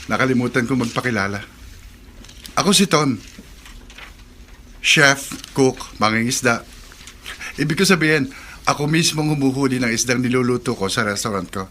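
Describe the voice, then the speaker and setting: Man acting bright-voiced, chatty fisherman chef. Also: mid-range voice, normal speed, long pauses, slight background noise, lightly acted. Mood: friendly, curious. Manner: teasing, chatty. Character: friendly, flirty, joking fisherman chef. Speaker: radio drama actor. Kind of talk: radio drama dialogue.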